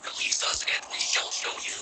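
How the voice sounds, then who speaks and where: A man voicing a whispering, many-mouthed cosmic entity that tempts a man with power in a slow, ominous voice. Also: close to an everyday voice. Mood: tempting. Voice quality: breathy whisper.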